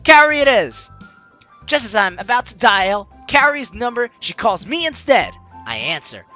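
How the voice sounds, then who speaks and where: A young man plays through a story game with bright, forceful energy. His pitch swings widely as he chats playfully and reads out lines dramatically, with music running behind him. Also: sing-song, moderate pace. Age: young adult. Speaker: let's player hosting a game series. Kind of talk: lively game commentary, reading dialogue aloud.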